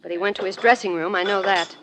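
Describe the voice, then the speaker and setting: A woman's voice: medium pitch, fast, fairly bright tone, slight background noise, lightly acted, poor audio. Female, 1940s radio drama: aggrieved, lovelorn young stage assistant played by an actress, middle register, sincere. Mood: sad, resigned. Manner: earnest, aggrieved. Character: hurt, lovesick young female magician's assistant.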